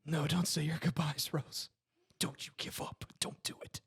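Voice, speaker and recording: An urgently devoted young man facing death as a man acts him out at a table read. His voice is husky and a little high. He pleads solemnly, in the melodramatic manner of a romantic film. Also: level tone, moderate pace, exaggerated voice. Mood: urgent pleading. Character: earnest, dying young male lover, urgently devoted.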